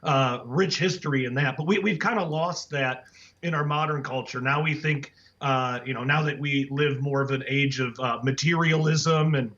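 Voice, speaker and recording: A man speaking as a guest author, hoarse and raspy after the flu, earnest and full of fillers and restarts. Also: medium pitch, moderate pace, fairly bright tone. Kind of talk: casual interview talk. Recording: dull lo-fi audio.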